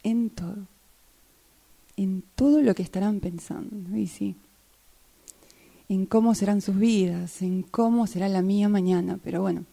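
A woman's voice: mid-range voice, fairly even intonation, measured pace, vocal fry, long pauses, warm tone. A woman acting in amateur radio theatre as a weary working woman waiting for a train. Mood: weary, pensive.

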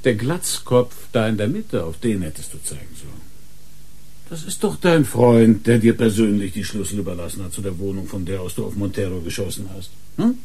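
An actor playing a hard, sarcastic police inspector grilling suspects in a radio crime drama, pressing and mocking. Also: male voice, lowish voice, expressive, brisk, mellow, slightly rough. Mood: taunting.

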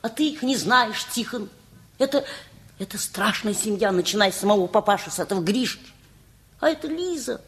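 An actress playing a bossy, indignant middle-aged housewife with a scolding, imperious manner in a radio play. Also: female voice, medium pitch, expressive, fairly quick, fairly bright tone. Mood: disapproving.

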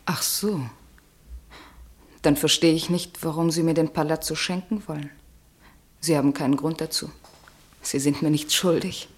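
An actress playing a poor young American woman taken for an heiress, speaking with composed, gently teasing wryness in a radio drama. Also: deep voice, fairly even intonation, moderate pace, long pauses, lightly acted. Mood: firm. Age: young adult.

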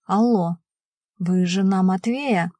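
A female narrator plays a calm woman on the telephone in an audiobook. She speaks with composure and some hesitation, as one breaking bad news, in a dry recording. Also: medium pitch, takes it slowly, dry recording. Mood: calm, hesitant.

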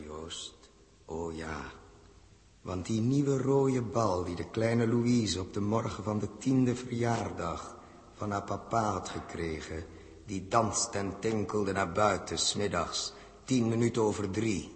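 An actor playing a stern, accusing inner voice of conscience in a 1960s radio drama, deliberate and insistent. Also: male voice, fairly low pitch. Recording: echoey hall-like reverb.

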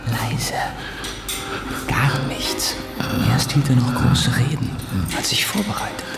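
An actor in a radio play as an anxious, confiding man speaking in a hushed, worried way. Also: mid-range voice, expressive, slow pace. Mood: hushed concern. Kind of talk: radio play acting, dialogue. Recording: echoey room with music behind.

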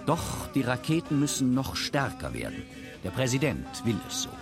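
Animated male documentary narrator. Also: medium pitch, moderate pace. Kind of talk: scripted radio documentary narration. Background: music.

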